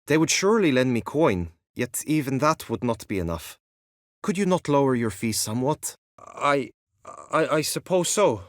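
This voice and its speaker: Casting: male actor as proud, devoted young knight for a fantasy game. Courtly, earnest, very animated pitch, close dry recording. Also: normal speed, dry recording, lightly acted. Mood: earnest, subdued. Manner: earnest, courtly, theatrical, archaic phrasing. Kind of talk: video game character acting, courtly formal speech.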